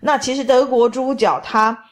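A middle-aged woman, describing food and sights in a measured, enthusiastic travel voice-over. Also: on the high side, fairly even intonation. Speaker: travel vlog co-host. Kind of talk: casual travel commentary voice-over.

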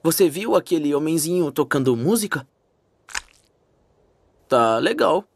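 A woman's voice: sits low, sing-song, measured pace, slight background noise, heavily acted. A woman with a Brazilian accent voicing an impatient, grumbling teenage boy in a cartoon fan dub. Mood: awkward.

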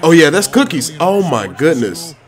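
Animated, higher-voiced man commentating gameplay. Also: moderate pace. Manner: slangy, playful trash talk. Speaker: gaming YouTuber commentating own play.

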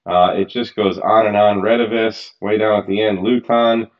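A man's voice: lowish voice, fairly even intonation, moderate pace, lo-fi sound. A man in his thirties giving a casual, chatty walkthrough of radio gear from a home office, with loose phrasing and lots of fillers. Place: home office room, indoors.

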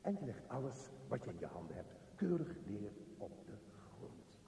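Pompous office boss in echoey room. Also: male voice, fairly low pitch, big pitch swings, moderate pace, dark tone, slight background noise. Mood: pompous, stern. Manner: pompous, lecturing. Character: bossy, self-important office manager, grumpy and pedantic. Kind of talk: radio play monologue. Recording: roomy, reverberant, distant from the mic.

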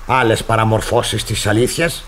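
An actor playing a stern, fussy, easily fooled pastor in a radio play, with a pompous, sermonising, flustered manner. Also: male voice, fairly low pitch, fairly even intonation, a bit bright, a little hiss or hum, close to an everyday voice. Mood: indignant, reproachful. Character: stern, moralising, credulous, fussy male pastor. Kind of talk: radio theatre acting.

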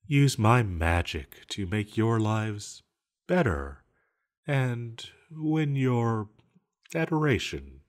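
A man playing a banished sorcerer villain, sly, seductive and scheming, speaking in a teasing, theatrical manner to the listener in an audio roleplay. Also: very animated. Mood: feigned regret. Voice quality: low-pitched. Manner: slow, teasing, theatrical, with lingering pauses.

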